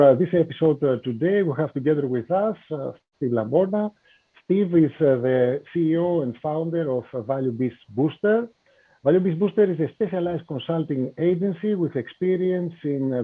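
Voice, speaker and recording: A man hosting a business podcast, introducing his guest and asking questions with many "uh" pauses. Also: on the high side. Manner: hesitant, many "uh" fillers. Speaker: podcast host. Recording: tinny phone-like call audio.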